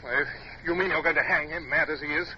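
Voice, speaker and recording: An actor with a British accent playing a proud, reserved naval captain in an old radio drama, clipped and formal. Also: male voice, fairly high pitch, steady tone, fast, natural voice. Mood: outraged. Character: proud, dignified British naval captain, narrating his own adventures. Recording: muffled, noisy old radio recording.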